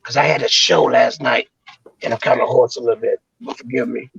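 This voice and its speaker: A hoarse man with a deeply gravelly, raspy voice, a touch higher than most men. He jokes in brash, crude banter as a comedy guest. The sound is dull and lo-fi, like a call line. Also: brisk, fairly bright tone, no room sound. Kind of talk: casual podcast chat.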